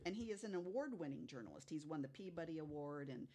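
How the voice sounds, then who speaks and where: Low-voiced woman chatting on a podcast. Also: expressive, quick pace, mellow, a little hiss or hum. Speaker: guest panelist telling stories. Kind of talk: casual podcast conversation and storytelling.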